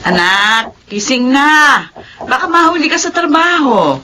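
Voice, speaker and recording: A woman's voice: high-pitched, big pitch swings, unhurried. An actress playing an anxious elderly mother in a radio drama, flustered and stammering as she frets over her son. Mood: worried, flustered. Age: older.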